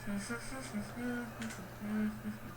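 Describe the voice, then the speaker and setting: A young woman giving casual, rambling game commentary in a self-deprecating, goofy and halting way. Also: medium pitch, flat delivery, over loud music. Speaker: gaming video host. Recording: roomy, distant mic with clear noise.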